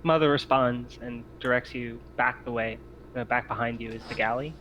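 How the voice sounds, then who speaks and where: A man's voice: medium pitch, steady tone, normal speed, fairly bright tone, muffled recording, a little hiss or hum. A man acting as game master, improvising tabletop game narration in a hesitant, explanatory way.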